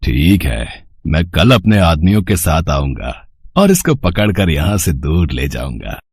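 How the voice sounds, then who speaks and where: A man voicing a leering, menacing city gangster in an animated moral story, with a drawling, mocking delivery. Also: low voice, a little slow, husky, no echo, clean studio sound, exaggerated voice. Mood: cold, menacing.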